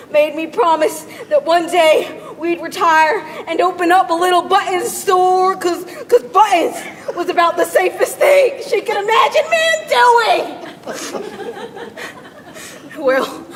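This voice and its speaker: A woman playing an agitated older male button-store owner and retired cop, ranting and shouting at the crowd. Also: very high-pitched. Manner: ranting, shouting, laughing, then sheepish. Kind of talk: live stage comedy play. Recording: roomy hall from far off, audience noise.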